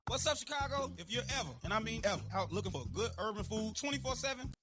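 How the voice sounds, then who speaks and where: A man's voice: high voice, lively intonation, fast talker, crowd noise. An American man reading a punchy radio advertisement. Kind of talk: radio ad read. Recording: hip hop music under the voice. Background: hip hop music.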